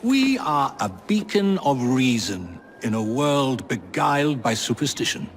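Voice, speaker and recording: An actor playing an eloquent, thoughtful Victorian gentleman in a video game, formal and theatrical, with an English accent. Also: medium pitch, sing-song, slight background noise. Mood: gracious. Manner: formal, deliberate. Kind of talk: video game cutscene acting.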